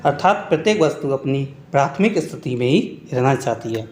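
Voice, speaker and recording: A man's voice: mid-range voice, expressive, brisk, a little hiss or hum. A man narrating a scripted lesson as an exam-prep teacher, reading out points one by one in an instructional way. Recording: echoey room.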